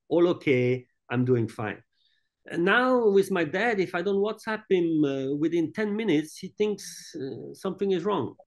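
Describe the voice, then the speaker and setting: Halting man on a video call. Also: on the high side. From born in France, raised in Italy and Switzerland. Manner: halting, many restarts and fillers. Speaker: hotel group head. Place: indoors, room with large painting. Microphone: video call webcam.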